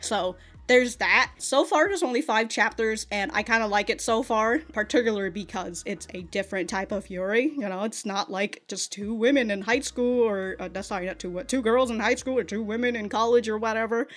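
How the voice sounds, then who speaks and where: A woman's voice: a little high, a little fast, fairly bright tone. A woman chatting casually and unscripted as an online reviewer, rambling and circling back over her phrases, with some casual swearing. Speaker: YouTube anime and manga reviewer.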